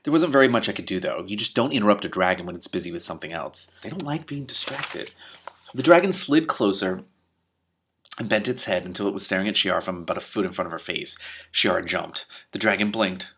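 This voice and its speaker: A man reads a story aloud and comments as he goes. His voice is on the low side and husky, with very lively swings in pitch. He is expressive and quick to react. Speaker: book reader and commentator. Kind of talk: animated read-aloud with commentary.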